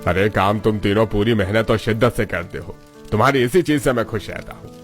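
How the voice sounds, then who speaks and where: A man's voice: on the low side, a little fast, faint music behind, natural voice. A man voicing a blustering, scolding but fair factory boss in a cartoon story. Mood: gruffly lenient. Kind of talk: Hindi cartoon story acting.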